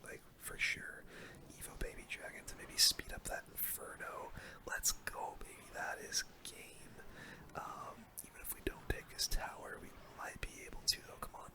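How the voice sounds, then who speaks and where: A gaming host doing casual ASMR commentary in a soft, breathy, unvoiced whisper, murmuring play-by-play with gamer slang close to the mic. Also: moderate pace.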